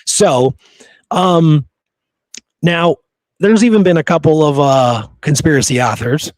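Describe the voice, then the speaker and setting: A man's voice: on the high side, takes it slowly, a little mellow, no room sound, rough recording. A man hosting a podcast, discussing history in a casual, conversational way with blunt, opinionated remarks. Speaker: podcast host.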